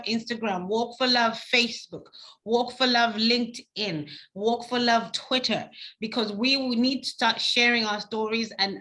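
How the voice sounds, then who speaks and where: A woman's voice: on the high side, sharp tone. A woman whose first language is Swahili, hosting and closing a webinar over a video call in an upbeat way. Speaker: webinar host.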